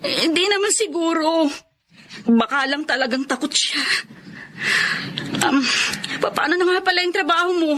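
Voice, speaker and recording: A dutiful young daughter in a radio drama. Her high voice sounds earnest, plaintive and worried, with a little noise behind it. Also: animated, moderate pace, long pauses, a bit bright.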